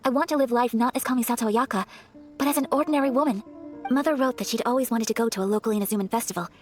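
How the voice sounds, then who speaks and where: A woman playing a refined, earnest young noblewoman in a video game, speaking politely and formally in a gentle, hesitant way. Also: a little high, racing, close to an everyday voice. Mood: wistful. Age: young adult. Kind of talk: video game character acting. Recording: faint music behind the voice.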